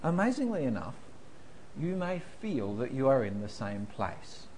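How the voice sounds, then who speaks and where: A man's voice: medium pitch, moderate pace, slight background noise, poor audio. A man preaching a sermon, telling funny stories about himself before turning serious. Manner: self-deprecating storyteller, animated, laughing through anecdotes. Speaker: pastor.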